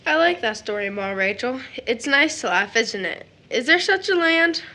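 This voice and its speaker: A woman's voice: a little high, big pitch swings, moderate pace, sharp tone, a little hiss or hum, heavily acted. A woman playing a sweet, eager little boy who speaks in childlike, wondering questions in an audio drama. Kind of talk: stage drama audio acting.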